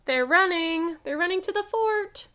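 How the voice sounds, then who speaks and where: A woman reading a picture book aloud for a children's storytime, expressive and deliberate, adding short asides. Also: very high voice. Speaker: children's librarian, storytime reader.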